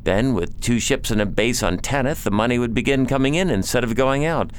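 A man's voice: mid-range voice, a little fast, slight background noise, natural voice. An actor playing a nobleman's loyal friend, earnestly explaining bad news in a science fiction audio drama. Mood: surprised, worried.

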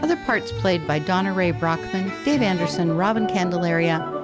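A woman's voice: on the low side, expressive, moderate pace. A woman announcer reading scripted credits for an audio drama. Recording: loud fiddle theme music behind. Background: theme music with fiddle.

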